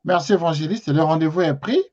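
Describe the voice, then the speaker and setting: A man hosting a broadcast talk show, his voice sitting a little high. He speaks politely and carefully, pausing often on fillers. The TV sound is dull and lo-fi. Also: a little mellow. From Congo. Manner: polite, measured, many hesitations. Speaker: TV show host.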